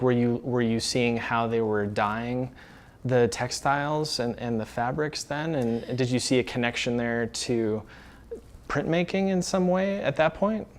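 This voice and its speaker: A podcast host interviewing a guest, asking questions and restarting phrases as he thinks. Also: medium pitch.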